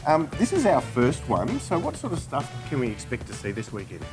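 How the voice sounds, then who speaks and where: A man's voice: medium pitch, huge pitch swings, a little fast. A man with an Australian accent hosting casual interviews outdoors at a motorsport event, upbeat and playful. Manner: upbeat, playful, eager to learn. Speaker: host. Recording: loud music over the voice.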